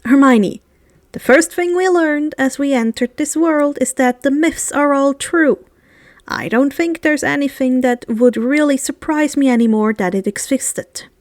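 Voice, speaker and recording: A woman's voice: sits high, unhurried, no echo, clean studio sound. A woman voicing an earnest, protective teenage wizard boy in a storybook. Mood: amused.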